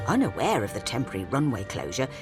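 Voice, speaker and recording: A woman's voice: rumbling low, very animated, quick pace, over loud music. A woman giving scripted documentary narration with a wry, playful delivery.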